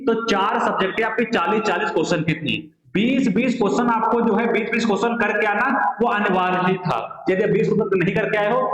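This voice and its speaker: A man's voice: sits high, a bit bright. A man teaching online exam aspirants, asking questions and answering them himself, often repeating his phrases. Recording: very echoey room, slightly muffled.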